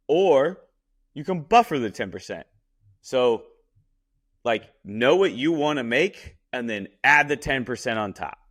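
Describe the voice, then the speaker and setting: A man's voice: mid-range voice, fairly bright tone. A man hosting a podcast, a chef talking in a blunt, casual way that is full of swearing and repeated words. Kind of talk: casual chat. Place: Los Angeles.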